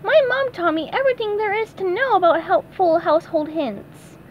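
A woman reading aloud and voicing a cheerful young male sea sponge in a high, squeaky put-on voice. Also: lively intonation, moderate pace, highs cut off, a little hiss or hum. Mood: breezy.

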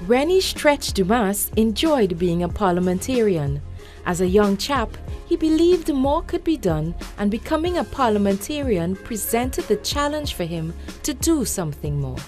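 Warm, lively woman narrating. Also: medium pitch, moderate pace, faint music behind. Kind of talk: scripted documentary narration.

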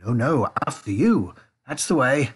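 A man voicing an excitable, eccentric gentleman factory owner in a storybook reading, gushing and repeating his exclamations. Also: mid-range voice, unhurried, breathy edge, exaggerated voice. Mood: bustling, eager.

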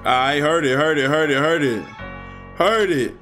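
A young man hosting a music reaction video. He chats casually and excitably in slang, speaking indoors to a webcam while wearing headphones. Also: a little high, a little slow. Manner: excitable, slangy, loose and talkative. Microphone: webcam framing, wearing headphones.

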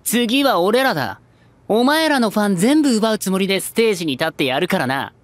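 A brash young male idol throws his weight around in an idol drama. He is cocky, rough-spoken and combative. The recording is dry and close. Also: sits high, sing-song, fairly quick, no echo. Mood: challenging.

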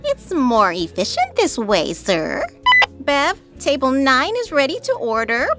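Woman as an earnest waitress, high and melodic. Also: huge pitch swings, measured pace, loud music behind the voice, heavily acted. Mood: polite, earnest. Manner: polite, customer-service delivery. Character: earnest, officious female beverage waitress. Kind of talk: comedy sketch audio acting.

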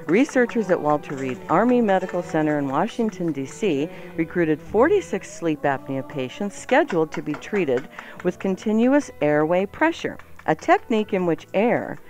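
Lively radio co-host with swooping pitch. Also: moderate pace, faint music behind. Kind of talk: chatty, joking radio banter and item reading.

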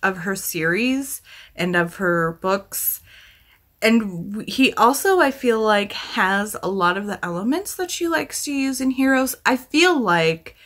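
A woman in her thirties talks in a bright voice of middling pitch. She reviews books casually for a vlog, thinking aloud and correcting herself as she rambles along. It is recorded at home in a small room. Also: lively intonation, a little slow. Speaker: book vlogger. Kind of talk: casual chatty book review to camera.

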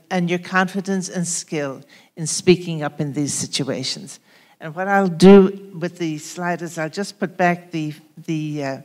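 A woman in her sixties speaks from a conference stage into a handheld mic, gently guiding her audience through questions. Her voice sits a little low and she talks in an even, unhurried way. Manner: calm, gentle prompting with soft fillers. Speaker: conference presenter. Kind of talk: workshop presentation. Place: conference hall stage at a podium.

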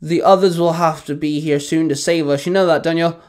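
A man acting a young superhero in an audio story. The voice is fairly high for a man, gruff and defiant in delivery. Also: steady tone, a little fast, a little mellow, close to an everyday voice. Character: young male heroes Robin and Hawkeye, gruff, defiant. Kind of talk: audio story character dialogue.

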